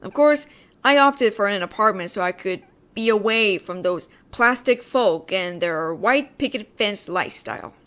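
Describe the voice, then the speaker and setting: A woman's voice: medium pitch, animated, a little slow, fairly bright tone. A woman voicing a judgemental tsundere female ghost in an audio roleplay, sarcastic and nagging but secretly caring, speaking directly to the listener. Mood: judgemental, wry.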